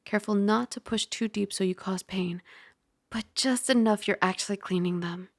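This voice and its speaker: A woman voicing a caring young dragon roommate in a soft ASMR roleplay, gently bossy and teasing as she gives close-mic instructions. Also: mid-range voice, normal speed, no room sound, lightly acted. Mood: gently instructive. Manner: gently bossy, teasing, puzzled by human ways. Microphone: close ASMR microphone.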